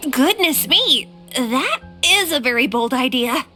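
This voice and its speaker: A woman's voice: high voice, very animated, a little slow, sharp tone, full character voice. A woman playing a polite, flustered female attendant in a video game. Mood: taken aback. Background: game music.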